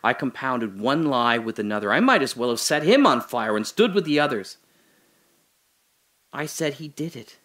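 Man acting a guilt-ridden, desperate man, intense and bright. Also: medium pitch, animated, natural voice. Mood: guilty, hushed. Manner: halting, intense, swinging from hushed confession to anguished cries. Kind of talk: dramatic audio drama monologue.

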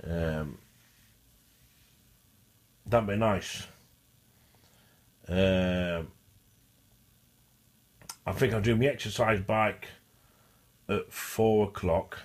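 A man speaks in a fairly low voice, rambling casually to his viewers. He stutters, restarts often and circles back over the same phrases. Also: fairly even intonation, drawn-out words, long pauses. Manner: repetitive, restarts and repeats phrases. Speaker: vlogger. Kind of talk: casual rambling monologue to camera.

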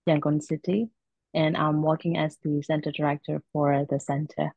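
A woman's voice: low voice, little pitch movement, normal speed, gravelly, a little mellow, breathy edge, no echo. A woman from Myanmar, a college center director, introducing herself politely on a video call. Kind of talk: formal self-introduction. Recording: dull, dry video call audio.

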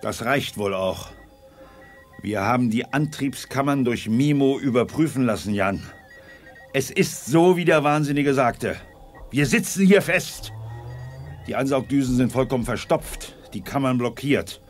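An actor playing a blustering, trigger-happy general in a science-fiction audio drama, brusque and indignant. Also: male voice, mid-range voice, animated, a little slow, faint music behind. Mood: grumbling.

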